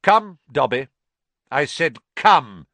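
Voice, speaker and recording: A man voicing a cold, menacing aristocrat who speaks with soft, sneering menace. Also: fairly high pitch, big pitch swings, takes it slowly, creaky, dry recording, exaggerated voice.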